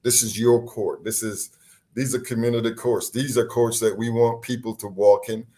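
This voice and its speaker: A man's voice: medium pitch, moderate pace. A man from Barbados, a deputy court commissioner, speaking earnestly over a remote call, often restarting his sentences. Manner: earnest, animated, frequent restarts. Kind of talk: casual interview on a call. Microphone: remote call line.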